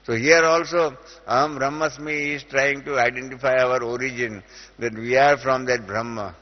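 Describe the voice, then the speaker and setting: A man's voice: medium pitch, steady tone, normal speed. A middle-aged Indian man teaches a spiritual class in a large hall. His voice carries a long echo, and the recording sounds dull and lo-fi. He explains patiently, stopping often on "uh" between thoughts. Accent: Indian English. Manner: explains through everyday examples, with many "uh" fillers.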